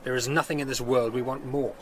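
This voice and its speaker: A man's voice: medium pitch, steady tone, fast, slight background noise, lightly acted. An actor playing a haughty, burly Tudor nobleman with a sneering manner in a radio drama. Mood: scornful. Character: haughty, scornful strongly built nobleman.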